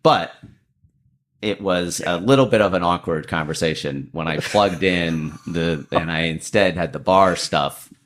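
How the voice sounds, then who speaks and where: A man with a deep voice, a comedian and lawyer telling a self-deprecating story as a podcast guest. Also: measured pace, a bit bright. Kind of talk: casual storytelling.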